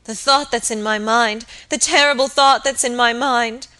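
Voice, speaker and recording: A woman's voice: a little high, steady tone, normal speed, sharp tone, voice pushed up. A woman voicing a hysterical young servant woman in an audiobook drama, sobbing, gasping and pleading in terror. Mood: horrified, pleading.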